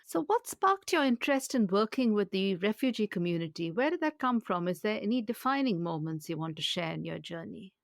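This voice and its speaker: A woman with a Mancunian accent hosting a podcast, reading a polished introduction and then asking gentle interview questions. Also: mid-range voice, lively intonation, fairly quick, creaky, hardly any pauses, no room sound, clean studio sound. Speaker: podcast host.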